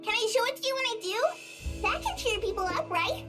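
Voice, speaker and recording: A woman's voice: very high-pitched, very animated, normal speed, music in the background. A woman voicing a polite, singsong little schoolgirl in a visual novel game. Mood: bright, cheerful. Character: cheerful little schoolgirl with an eerie edge.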